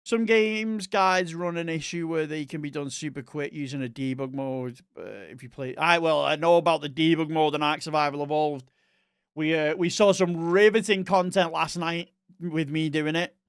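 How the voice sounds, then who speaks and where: A man's voice: on the high side, moderate pace, clear, resonant tone, no room sound, studio quality. A man with a Northwest English accent, a mix of Manchester and Liverpool, chatting casually on a live stream, rambling and correcting himself as he reads viewer messages.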